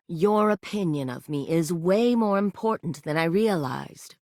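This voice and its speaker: Brief: sultry game vixen, low-set purr, filthy-mouthed, dry studio sound. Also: female voice, dry recording. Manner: sultry, teasing, swears freely. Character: sultry, brash female sex-toy character, flirty and foul-mouthed. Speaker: dating sim character. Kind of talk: video game voice lines.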